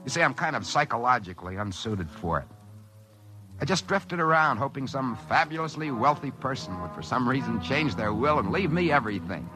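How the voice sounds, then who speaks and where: An American actor playing an excitable, work-shy schemer who stammers when flustered, in mid-century radio drama style. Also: male voice, medium pitch, brisk, natural voice, low-quality recording. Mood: smug, musing. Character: work-shy scheming dreamer who finds a wishing machine. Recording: vintage radio recording with faint music.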